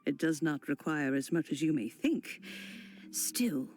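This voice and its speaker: A woman playing a kindly, wise old madam in a video game, speaking with unhurried, gentle courtesy. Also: low voice, lively intonation, faint music behind. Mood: gentle, composed. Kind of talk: scripted video game voice acting.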